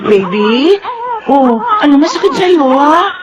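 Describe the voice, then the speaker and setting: High-voiced, fretful young mother. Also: very animated, takes it slowly, highs cut off, noisy background, full character voice. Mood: worried, fretful. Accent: Filipino. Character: anxious, inexperienced young mother with crying baby. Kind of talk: radio drama acting.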